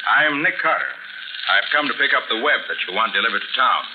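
Man as private detective, brisk, polite, inquisitive, lively intonation, 1940s radio acting, tinny old recording. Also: mid-range voice, noisy background, lightly acted. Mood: confident, courteous. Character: self-assured, courteous male private detective.

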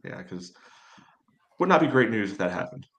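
A low-pitched male voice. He chats casually about sports as a podcast host, feeling his way through sentences with plenty of fillers. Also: sing-song, normal speed, fairly bright tone.

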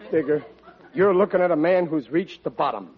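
An actor playing a middle-aged, excitable and soft-hearted American working-class father in 1940s radio comedy, with a blustery, plaintive delivery. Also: on the high side, brisk, natural voice. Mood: self-pitying grumbling. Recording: muffled old radio recording.

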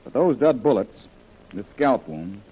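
Husky, resolute radio-serial adventurer. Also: male voice, medium pitch, sing-song, unhurried, dark tone. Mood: firm, grave. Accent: American. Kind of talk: 1930s radio serial acting. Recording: muffled, dull vintage radio recording.

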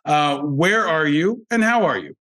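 A man's voice: fairly high pitch, lively intonation, measured pace, sharp tone. A man hosting a podcast interview in an informal, effusive way, with casual language. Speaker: podcast host.

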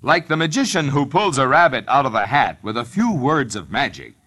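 A man reading an emphatic, polished sales pitch in an old-time radio announcer style. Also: big pitch swings, a little fast, bright, forceful tone, soft background music. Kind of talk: 1940s-50s scripted radio sales pitch.

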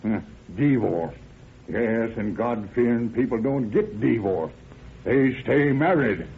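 An actor playing a stern old rural American father, gruff, folksy and scolding, in old-time radio drama style. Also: mid-range voice, animated, slow. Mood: scornful, stern. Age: older. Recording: tinny, noisy old radio recording.

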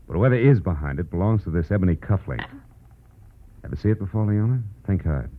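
A tough old-radio private eye, really low voice, sardonic and slangy, muffled vintage sound. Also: male voice, animated, a little fast, slight background noise. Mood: firm, urgent. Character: tough, wisecracking hard-boiled male private detective. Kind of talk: 1940s radio drama acting and narration.

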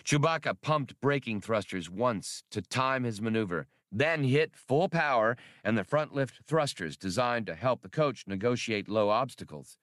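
A man's voice: mid-range voice, lively intonation, moderate pace, hardly any pauses, fairly bright tone, no room sound, studio quality. A man reading an adventure novel as scripted, dramatic audiobook narration.

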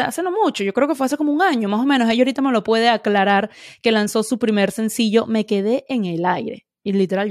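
A woman's voice: a little high, a little mellow, no room sound. Gushing woman hosting, dry sound. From Venezuela. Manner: gushing, effusive. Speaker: podcast host. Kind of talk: casual interview chat.

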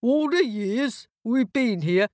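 A woman's voice: a little high, expressive, slow pace, darkish tone, no echo, clean studio sound, pitched above the performer's own voice. A woman voicing a neglected, grumbling door that talks reproachfully in a storybook.

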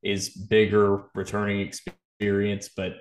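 A man's voice: fairly low pitch, completely flat delivery, a little slow, slight background noise. A man co-hosting a sports podcast, giving casual football analysis in a blunt, opinionated way.

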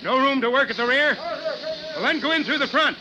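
An actor playing a gruff, commanding fire chief who gives brisk, clipped orders in old-time radio drama style. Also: male voice, very high voice. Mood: urgent shouting. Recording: dull old radio recording, faint noise.

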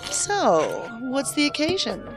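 A woman's voice: high voice, huge pitch swings, slow pace, over music, exaggerated voice. An actress playing a wry, stubborn female field agent in an audio drama, with a dry, teasing manner. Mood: teasing, amused.

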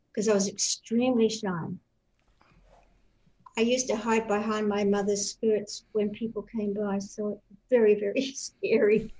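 A woman's voice: mid-range voice, darkish tone, low-quality recording. A woman in her seventies from Australia, with an Australian accent, recounting her life as a guest in a live online interview, rambling with many fillers and restarts.

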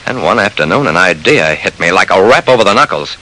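A man's voice: deep voice, lively intonation, fairly quick, bright, forceful tone, full character voice. An actor playing a shrewd, persistent private investigator narrating his case in an old-time radio drama, with clipped, hard-boiled delivery. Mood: keen, intrigued. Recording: noisy vintage radio recording.

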